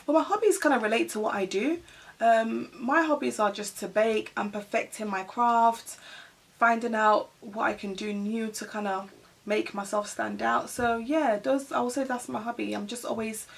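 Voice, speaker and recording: A woman's voice: fairly high pitch, normal speed, vocal fry. A woman from Ghana chatting casually as a vlogger, rambling with lots of "like" and "you know". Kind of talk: casual get-to-know-me chat. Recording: voice a bit distant from the mic.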